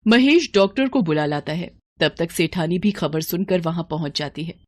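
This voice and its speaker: A woman's voice: lowish voice, normal speed, no echo. A woman reading scripted narration for a moral tale. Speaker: story narrator.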